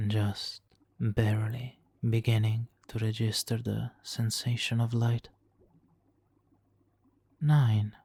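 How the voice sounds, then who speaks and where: A man's voice: lowish voice, gravelly, a little mellow, breathy edge, full character voice. A man playing a suave, manipulative supervillain in an ASMR roleplay, silky and theatrically polite, smugly doting and sometimes slipping into whispers. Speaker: audio roleplay performer. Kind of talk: ASMR roleplay, hypnotic countdown.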